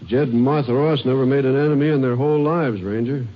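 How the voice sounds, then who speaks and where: A man's voice: medium pitch, fast, natural voice. Folksy sheriff, played by a man, homespun drawl, muffled old radio sound with some hiss. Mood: somber. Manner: folksy drawl with rural grammar. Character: older rural male sheriff, plain-spoken. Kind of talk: 1950s radio drama acting. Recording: muffled 1950s radio broadcast recording with hiss.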